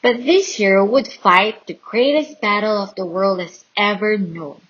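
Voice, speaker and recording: A woman reading a children's Bible story aloud in an expressive, dramatic and deliberate storytelling style. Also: medium pitch, breathy edge, highs cut off. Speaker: storybook reader.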